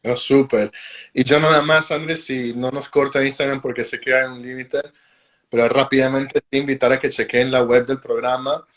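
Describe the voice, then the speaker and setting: A man joining a live-stream chat as a guest, pitching enthusiastically and persuasively. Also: little pitch movement, moderate pace, fairly bright tone, dull audio.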